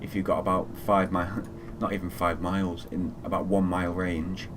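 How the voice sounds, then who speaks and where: Male game streamer, deep voice, low-key casual commentary, muttered procedures, noticeably noisy background. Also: flat delivery, moderate pace. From Fiji. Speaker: flight simulator live streamer. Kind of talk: casual live gaming commentary.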